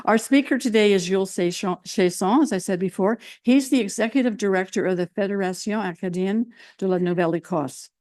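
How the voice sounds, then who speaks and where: A woman's voice: medium pitch, moderate pace, darkish tone. A woman hosting a seniors' college event, announcing courses and introducing the speaker in a chatty way with small restarts.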